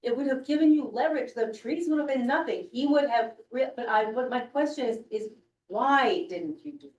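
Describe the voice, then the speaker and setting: A woman with a loud voice pushes hard through a meandering, confrontational comment, clearing her throat as she goes. She is far from the microphone, so her voice carries across a roomy, echoing meeting hall. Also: on the high side, a little fast, highs cut off. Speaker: member of the public commenting. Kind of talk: public comment at a community meeting. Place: community meeting room. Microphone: room mic from across the room. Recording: echoey room, far from mic.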